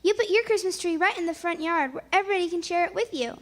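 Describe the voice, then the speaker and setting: A woman's voice: extremely high pitch, fast talker. A woman playing a chirpy, inquisitive little neighbourhood girl in a 1940s radio comedy, eager and childlike. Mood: eager, admiring.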